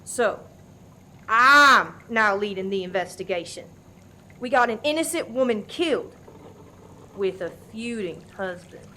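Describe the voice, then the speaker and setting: A woman's voice: medium pitch, animated, unhurried, long pauses, bright, forceful tone, slight background noise. A woman playing a bossy, no-nonsense diner manager in a farcical radio play, commanding and official. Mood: taking charge. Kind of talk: farce radio play acting.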